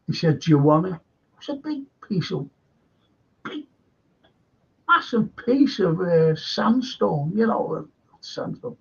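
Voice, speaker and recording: A man's voice: a little high, creaky. An older man with a Liverpool accent, hosting a radio chat show and telling rambling local history stories. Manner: rambling storyteller, acts out quoted voices. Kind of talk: casual radio chat, reading listener messages. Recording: dull lo-fi sound.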